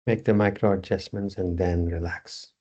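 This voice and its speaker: Low, husky man guiding relaxation. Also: muffled recording. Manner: slow, calm, with spare short phrases. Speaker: yoga teacher. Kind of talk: guided relaxation meditation instruction.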